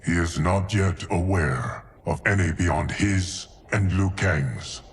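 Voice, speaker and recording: An actor playing a grave, weary immortal guardian, formal and deliberate, in a video game cutscene. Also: male voice, slight background noise. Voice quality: deep. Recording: faint music behind the voice.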